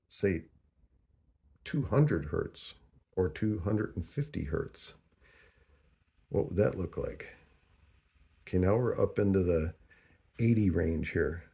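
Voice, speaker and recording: A man narrating a casual hands-on tutorial, thinking aloud in a deliberate, halting way as he works through figures. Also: low voice, expressive, vocal fry, mellow, slightly rough. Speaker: host of a DIY audio tutorial.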